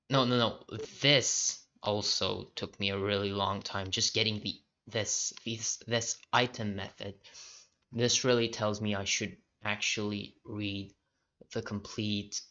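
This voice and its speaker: A young man casually walking through his own code, halting often with fillers and restarts. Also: fairly low pitch, sharp tone. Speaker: programming diary vlogger. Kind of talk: casual screen-share walkthrough. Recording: dull lo-fi audio.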